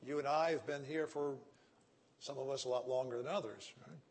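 A man's voice: medium pitch, fairly even intonation, brisk, breathy edge. A man with a Texan accent giving an ethics lecture, reasoning step by step and checking in with his listeners with frequent "right?" and "okay?". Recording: echoey hall.

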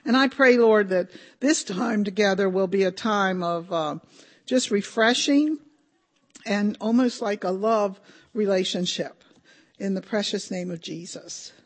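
An older woman speaking at a conference, slightly hoarse with a deep voice for a woman, talking informally with many ums and restarts. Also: unhurried. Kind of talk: informal talk with prayer.

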